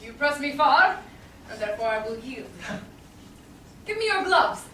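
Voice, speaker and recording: A woman's voice: sits high, big pitch swings, a little slow, bright, forceful tone, full character voice. A woman playing a composed, clever lawyer in disguise in a Shakespearean stage reading. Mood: gracious. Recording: distant echoey small theatre, background noise.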